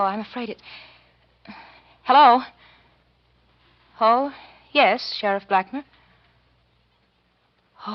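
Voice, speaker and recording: An actress playing an anxious, refined married woman in a 1940s radio drama, speaking in a hesitant, halting way. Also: a little high. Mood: shocked, agitated. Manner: hesitant, halting, breathless phrasing. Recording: dull vintage 1940s radio recording.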